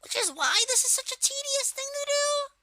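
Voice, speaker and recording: A woman voicing a young male cartoon turtle villain while giving an informal, hesitant, unscripted software tutorial. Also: extremely high pitch, sing-song, normal speed, no echo, exaggerated voice. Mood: explaining, casual. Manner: hesitant, informal, rambling delivery. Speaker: tutorial presenter.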